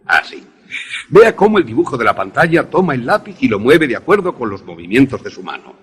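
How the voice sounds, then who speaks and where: A man acting an enthusiastic professor in a radio drama, lecturing in a deliberate, didactic, theatrical way. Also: mid-range voice, big pitch swings, breathy edge. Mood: eager, instructive. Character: enthusiastic male professor demonstrating an invention to students.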